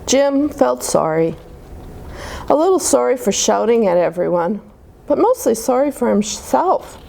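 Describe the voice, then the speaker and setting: A woman's voice: a little high, measured pace, gravelly. A woman reading a picture book aloud to children, with asides to her listeners. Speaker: children's story time reader. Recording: noticeable steady background noise.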